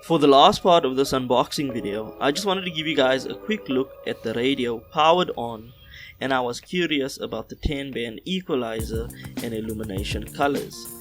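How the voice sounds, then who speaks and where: A man reading a scripted product unboxing voiceover in a measured, instructional way, recorded on a small external car-radio microphone. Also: mid-range voice, soft background music. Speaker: host of a car audio channel. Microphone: small external car-radio microphone, cleaned-up voiceover.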